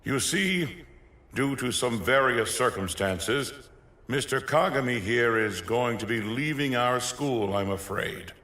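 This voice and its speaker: A grave male school principal in an anime, formal and measured. He speaks slowly at a middling pitch in an echoey room. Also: expressive, bright, forceful tone. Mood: solemn, stern. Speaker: voice actor in an English anime dub. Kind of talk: anime dub acting.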